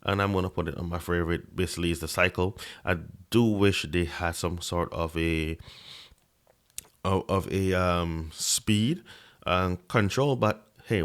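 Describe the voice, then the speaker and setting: A man's voice: deep voice, a bit bright. A man giving a casual tech review at his desk, talking into a desk microphone, often stuttering and repeating words. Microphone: desk microphone under review.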